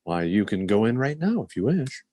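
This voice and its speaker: Radio drama doctor male actor: reassuring, matter-of-fact, mellow tone, dry close sound. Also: lowish voice, sing-song, normal speed, no room sound. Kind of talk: old-time radio drama acting.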